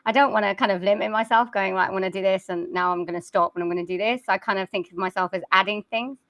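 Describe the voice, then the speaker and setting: A woman's voice: medium pitch, steady tone, fast, fairly bright tone, no echo. Chatty, giggly woman guest. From South Wales. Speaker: guest singer and voice-over artist. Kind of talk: casual interview.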